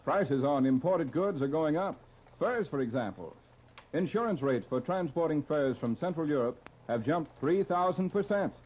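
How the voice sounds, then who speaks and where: Dull 1930s radio recording, higher-voiced American announcer. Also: male voice, expressive, normal speed. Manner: formal, polished scripted delivery. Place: radio studio.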